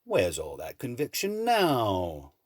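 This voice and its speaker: Guy acting a smug menacing villain, low voice that swoops around, slow and mockingly polite. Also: huge pitch swings, no room sound, clean studio sound, heavily acted. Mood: scornful. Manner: mannered, theatrical, mockingly polite. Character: suave, menacing male villain, condescending and scheming. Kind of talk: audio drama acting.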